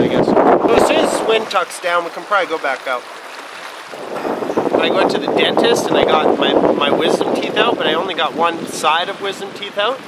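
A young man chats offhand and deadpan while sitting in a small boat out on a frozen lake. His voice sits a little high and comes from a camera set back from him. Heavy wind and motor noise roar over everything. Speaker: snowboarder. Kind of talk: casual rambling vlog to camera. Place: small open boat on a lake outdoors in winter. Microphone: wide-angle camera mounted on the boat. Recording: distant mic with loud wind and boat noise.

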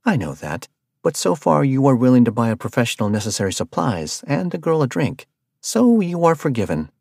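Storybook: hot-headed woman sellsword, voiced by a man, brash and yelling, wildly swinging pitch. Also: big pitch swings, brisk, no room sound, studio quality. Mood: cocky. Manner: yelling insults, brash and loud. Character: brash foul-mouthed woman mercenary, hot-tempered.

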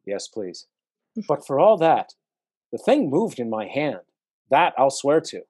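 A man playing a stubborn old soldier father in a radio play, earnest and gently wry. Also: mid-range voice, big pitch swings, unhurried, slightly rough. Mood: earnest, uneasy.

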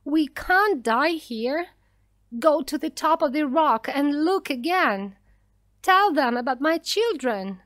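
A woman's voice: a little high, very animated, takes it slowly, hardly any pauses, a bit bright, heavily acted. A woman voicing a desperate, pleading mother in a storybook, crying out insistently. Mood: fervent, frantic. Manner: screaming, insistent, imploring. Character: desperate, pleading mother stranded on storm-beaten rock.

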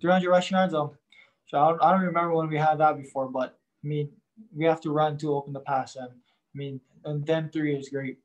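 Young college qb talking to reporters, kinda flat delivery, lots of "i mean", hands out credit to the team. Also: male voice, a little high, low-quality recording. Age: young adult. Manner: halting, starts nearly every phrase with "I mean"; modest, credits his teammates. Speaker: college quarterback. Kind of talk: post-game press conference answers.

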